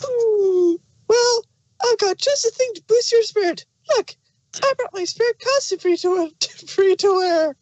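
A woman's voice: extremely high pitch, a little slow, darkish tone, no room sound, highs cut off. A woman voicing a hyper, chirpy, relentlessly cheerful young female pony in a fan audio drama, bubbly and pushy. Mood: bubbly, excited.

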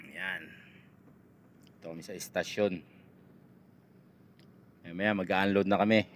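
A man's voice: sits low, fairly even intonation, unhurried, slight background noise. A middle-aged man, a truck driver vlogger, chatting casually on a handheld phone camera inside a truck cab. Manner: easygoing, laughs often, gives shoutouts. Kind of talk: casual vlog chat.

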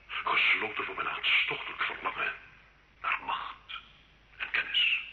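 A man's voice: deep voice, pushed and bright, heavily acted. An actor playing a tormented, murderous French nobleman confessing in an old radio drama, theatrical and impassioned. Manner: impassioned, anguished, dramatic swings from whisper to cry. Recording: muffled old radio recording, faint noise.

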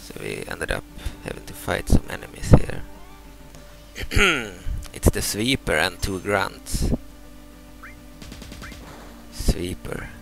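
A man in his thirties from Sweden, a native Swedish speaker, giving casual commentary over a video game, halting and restarting, explaining each move. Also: low-pitched, huge pitch swings, gravelly, music in the background, poor audio. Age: 30s. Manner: halting, repeats words and restarts, explains moves step by step. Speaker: gameplay commentator. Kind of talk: casual let's-play commentary.

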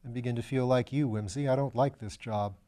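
A man's voice: medium pitch, fairly even intonation, brisk, dark tone, lightly acted. Storybook part: man playing a sceptical police detective, dry, level-headed, plain-spoken. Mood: dry, doubtful.

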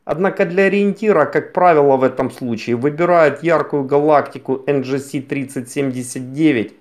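A man narrating a scripted science documentary in a measured, explanatory manner. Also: fairly high pitch, a little mellow.

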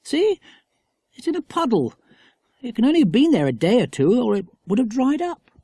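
Man voicing a high-pitched, sensible English schoolboy leader. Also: wildly swooping pitch, normal speed, dark tone, breathy edge, dry recording, heavily acted. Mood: puzzled. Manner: emphatic, reasoning aloud.

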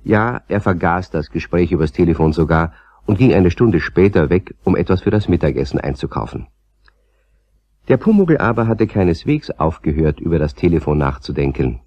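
A man narrating a children's radio play as a calm, gently amused storyteller with a husky voice. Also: sits low, moderate pace, no room sound, muffled recording. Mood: storytelling.

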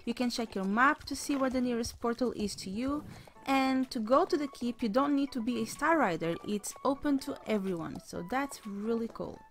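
A woman hosting a game video in casual commentary, slightly hoarse as she is losing her voice, excitable about what she finds. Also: on the high side, moderate pace. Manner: excitable, gasps at new sights. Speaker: gaming channel host.

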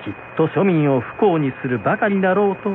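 A man's voice: a little high, animated, a little fast, a little hiss or hum. A man narrating a scripted folktale for radio in a polite, traditional storytelling manner. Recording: tinny radio broadcast, music behind.

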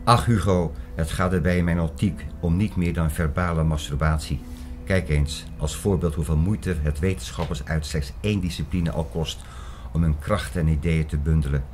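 An actor in a radio drama playing a sceptical, learned man who argues in a measured, lecturing and dismissive way. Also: extremely low pitch, fairly even intonation, a little mellow, slight background noise. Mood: scornful, lecturing. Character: sceptical, learned older male friend named Klaus, dismissive. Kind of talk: radio drama dialogue acting.

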